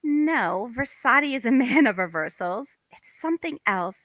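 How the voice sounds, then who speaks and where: A woman's voice: sits high, lively intonation, normal speed, a bit bright, dry recording. A woman playing a wistful, melancholy young wife in a table read of a stage comedy.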